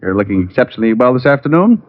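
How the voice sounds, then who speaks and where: An actor playing a cocky, sardonic private detective in 1940s radio style. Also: male voice, medium pitch, very animated, very fast, close to an everyday voice. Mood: wry, teasing. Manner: sardonic, glib. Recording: tinny old radio recording, faint music.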